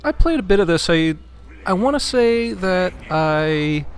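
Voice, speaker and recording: A man's voice: high-pitched, expressive, a little slow. A man joining as guest co-commentator, chatting casually about games in a jokey, anecdotal way with hesitant phrasing. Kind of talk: casual game chat. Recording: faint background noise.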